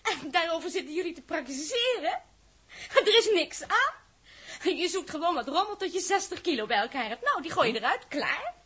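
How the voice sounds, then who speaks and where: An actress playing a naive, flighty young woman aboard a spaceship in a 1960s radio drama, girlish and halting. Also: extremely high pitch, very animated, pushed and bright, full character voice. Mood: giggling, cheeky. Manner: hesitant, stammering, girlish.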